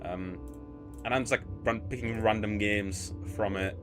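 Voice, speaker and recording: A man with a blended British and American accent rambles casually through a livestream, talking to himself and his chat. His voice sits on the low side, and music plays clearly underneath. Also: steady tone, a little slow. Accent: mixed British and American. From Poland. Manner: chatty, rambling, thinks out loud. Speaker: streamer.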